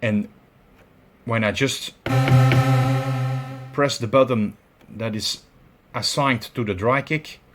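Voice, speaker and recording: A man with a Belgian accent giving a hands-on tutorial, talking in halting steps with many fillers as he searches for words. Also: medium pitch, loud music behind the voice. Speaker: tutorial presenter. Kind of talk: instructional demonstration.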